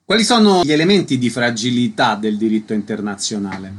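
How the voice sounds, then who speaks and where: A man's voice: medium pitch, fairly quick, husky. A man hosting book interviews, putting short prepared questions and introductions. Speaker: interviewer, host.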